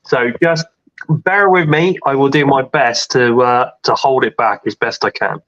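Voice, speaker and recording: A man's voice: mid-range voice, a little slow, fairly bright tone, highs cut off. A man hosting a casual wrestling podcast, congested with a cold and chatting in a rambling way with lots of fillers. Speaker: podcast host.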